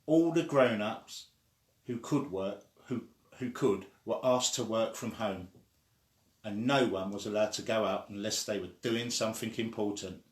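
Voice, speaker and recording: A man reading a children's story aloud in an expressive way, sometimes stumbling and starting again. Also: on the low side, measured pace. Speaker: story reader. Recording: echoey room.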